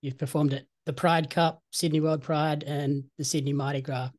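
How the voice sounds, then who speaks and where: An interviewer puts questions to a guest in a friendly, encouraging manner. The voice sits at a middle pitch and keeps an even, conversational pace. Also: moderate pace.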